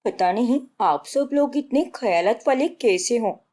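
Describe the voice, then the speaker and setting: A woman's voice: on the high side, animated, measured pace, warm tone. A woman voicing a showy, boastful young newlywed daughter-in-law in a story, insistent and bragging, dropping in English words. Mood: stubborn, argumentative.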